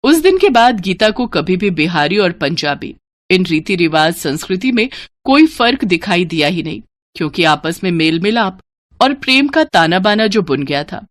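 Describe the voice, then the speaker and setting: A woman's voice: on the low side, sing-song, normal speed, no echo. A woman narrator telling a scripted moral bedtime story.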